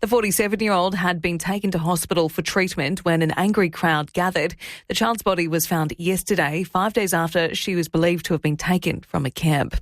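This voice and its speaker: Low-voiced Australian female newsreader. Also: a little fast, no echo, studio quality. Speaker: radio newsreader. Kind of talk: scripted news bulletin. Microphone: close studio microphone.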